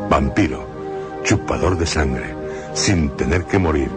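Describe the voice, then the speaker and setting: Very deep, slow radio narrator man. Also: animated. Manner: measured, ominous, dramatic pauses. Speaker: radio drama host and narrator. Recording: radio broadcast with clear music bed.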